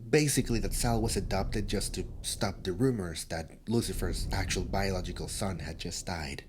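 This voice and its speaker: A man's voice: sits low, moderate pace, background noise, natural voice. A man playing a hesitant, whispery male demon. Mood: gossipy. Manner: hushed, hesitant, stammering. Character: nervous gossipy male demon with intuition. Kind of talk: ASMR audio drama.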